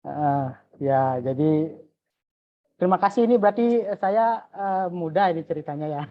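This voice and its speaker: Thirtyish woman with a really low voice answering questions on a muffled video call, friendly. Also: lively intonation, unhurried. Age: 30s. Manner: friendly, laughs easily. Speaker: webinar speaker. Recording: dull lo-fi call audio.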